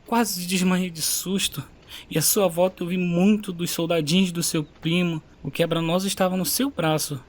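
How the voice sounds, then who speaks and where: A man's voice: high-pitched, fairly even intonation, normal speed, close to an everyday voice. Man playing a stern, loving father. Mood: scolding. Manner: scolding, then softly soothing.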